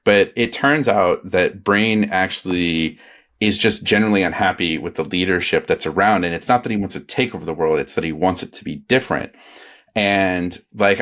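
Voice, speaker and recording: Man, very deep voice, podcast panelist, hesitant, rambling, lots of ums. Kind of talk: casual comedy podcast chat.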